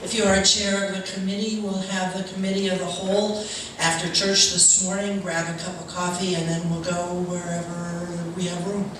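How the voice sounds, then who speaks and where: A woman gives informal announcements at a church service in a slightly low voice. She is picked up from a distance in a strongly echoing room, with clear background noise. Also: level tone, moderate pace, few pauses. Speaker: congregation member giving announcements. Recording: very echoey, noisy hall, distant mic.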